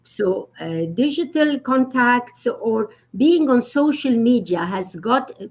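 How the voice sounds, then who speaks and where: Woman etiquette guest, higher voice, earnest and explanatory, pauses with fillers. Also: animated, darkish tone. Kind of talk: conversational live-stream talk.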